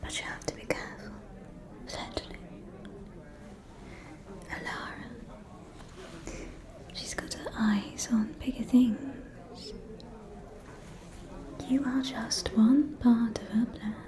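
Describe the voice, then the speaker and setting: A woman playing a scheming, falsely caring aristocratic vampire in a whispered ASMR roleplay, with a soft, breathy, silky and insinuating delivery. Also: a little high. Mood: falsely concerned, then seductive, manipulative. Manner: slow, silky, insinuating, condescending.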